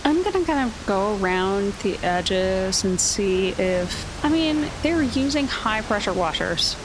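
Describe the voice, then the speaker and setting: A woman's voice: medium pitch, expressive, a little slow. A woman playing a tabletop game, reasoning aloud in an inquisitive, analytical way. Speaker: player. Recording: noisy hiss.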